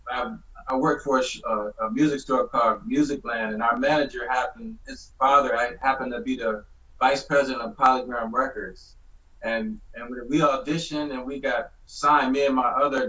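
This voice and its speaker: A man from Michigan, a musician guest telling his story in a rambling, halting way over a video call. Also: mid-range voice, fairly even intonation, bright, forceful tone. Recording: distant, dull video call audio.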